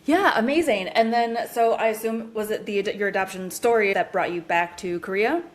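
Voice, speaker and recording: A woman hosting a podcast, reading a friendly, upbeat scripted intro and asking warm, slightly hesitant questions. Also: mid-range voice, normal speed, slight background noise. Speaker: podcast host.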